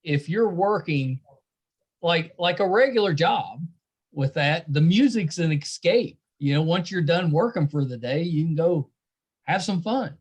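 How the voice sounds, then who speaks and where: A man with a fairly high voice works as a podcast host. He talks in a casual, conversational interview style, putting questions and remarks to his guests. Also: expressive, unhurried, husky, dull audio.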